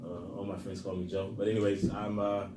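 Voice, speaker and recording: A man's voice: low voice, a little fast. A young man from Haiti, co-hosting a motivational podcast in a casual, earnest way, with plenty of fillers. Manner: relaxed, earnest, many fillers. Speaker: podcast co-host. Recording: echoey room with background noise.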